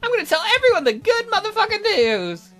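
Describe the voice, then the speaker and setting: A woman's voice: very high voice, sing-song, fast talker, soft background music, pitched above the performer's own voice. A woman voicing a timid, devoted young male bird servant with a flustered, stammering manner in an amateur visual novel read-through. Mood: excited.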